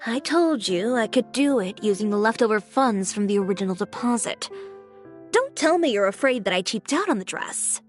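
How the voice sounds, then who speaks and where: A woman's voice: mid-range voice, expressive, moderate pace, natural voice. A woman voicing a confident, blunt fashion designer in a video game, dry, composed and matter-of-fact. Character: confident, blunt, businesslike female fashion designer and boutique owner. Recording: music playing behind the voice.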